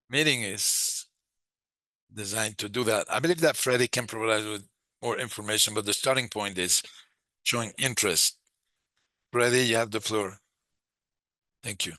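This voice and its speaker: A man giving a live interpretation of a webinar discussion, speaking in halting, phrase-by-phrase chunks. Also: on the low side, bright, forceful tone, no room sound. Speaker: simultaneous interpreter.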